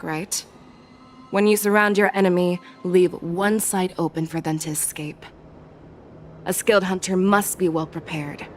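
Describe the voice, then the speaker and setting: A woman voicing a composed, shrewd general in a video game, speaking in a commanding, measured, instructive way. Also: fairly low pitch, slight background noise, close to an everyday voice. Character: composed, shrewd female general, a seasoned hunter. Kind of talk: dramatic video game voice acting.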